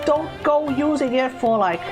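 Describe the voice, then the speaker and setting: A scolding older Cantonese auntie, put on by a woman streamer, lectures in a thick Hong Kong English accent. She stretches her vowels in a raised, nagging pitch. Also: animated, normal speed, music in the background.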